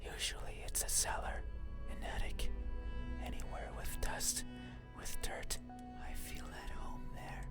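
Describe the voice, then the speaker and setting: A man voicing a creepy, lonely shadow demon in a horror game monologue, brooding and politely menacing in a breathy ASMR whisper. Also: a little slow, close to an everyday voice. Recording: whisper over loud music.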